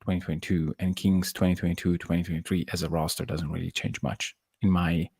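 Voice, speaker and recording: A man's voice: low-pitched, flat delivery, a little fast, vocal fry, darkish tone, slightly rough. A man co-hosting a sports podcast in a casual, conversational debate.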